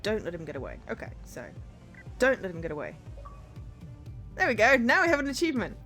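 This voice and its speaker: A woman's voice: mid-range voice, huge pitch swings, a little fast, bright, forceful tone, soft background music. A woman streamer reading narration from a visual novel game aloud.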